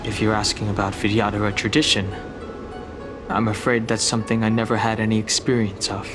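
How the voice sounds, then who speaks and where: Game hero male actor: brooding young wanderer, lowish voice, reserved and earnest, music bed. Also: lightly acted. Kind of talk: video game voice acting. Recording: loud music behind.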